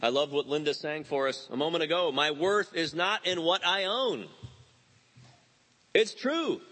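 A man in his fifties preaching a sermon, animated and telling stories with quoted voices. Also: fairly high pitch, unhurried. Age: 50s. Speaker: pastor.

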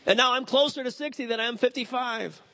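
A man in his fifties preaching a sermon, animated and telling stories with quoted voices. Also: extremely high pitch, fast. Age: 50s. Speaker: pastor.

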